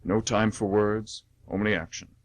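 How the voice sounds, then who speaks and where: A man's voice: on the low side, level tone, unhurried, natural voice. A man voicing a solemn, resolute monk warrior in a radio play, speaking in formal, emphatic phrases. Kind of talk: radio play heroic acting.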